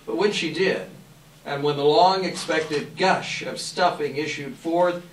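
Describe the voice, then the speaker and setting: In a roomy, echoing space and some way from the microphone, a man narrates a classic tale in a live reading. His voice sits slightly high, with expressive, measured storytelling. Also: a little hiss or hum. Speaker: narrator, readers theater volunteer. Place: live event room. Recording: echoey room, distant microphone.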